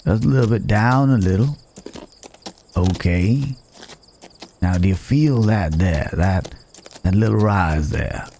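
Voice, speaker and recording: A rugged cowboy drifter, acted by a man for an ASMR roleplay, with a very low, gravelly voice. He talks slowly in folksy Old West phrasing, pausing as he goes, gently teasing and protective, with faint crickets in the night behind him. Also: expressive, slight background noise. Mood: calm, caring. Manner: folksy old-West drawl phrasing, unhurried, hesitant pauses. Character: rugged wandering cowboy stranger, gently teasing, dangerous but caring. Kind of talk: ASMR roleplay, intimate acted monologue to the listener.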